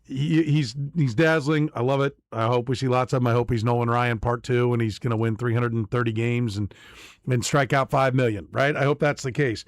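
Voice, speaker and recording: A male sports radio host with a middle-pitched voice who winds his way into questions with restarts and playful self-mockery. He sounds close to the mic in a dry studio. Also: steady tone, brisk, no room sound. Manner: rambling, self-mocking, playful with guest. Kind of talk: casual sports radio talk.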